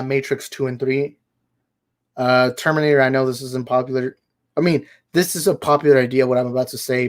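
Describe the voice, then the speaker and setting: A man hosting a casual live movie chat, his voice hoarse and worn out, rambling and correcting himself as he goes. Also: mid-range voice. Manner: rambling, self-correcting, laughs easily. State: hoarse, tired voice. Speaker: livestream host.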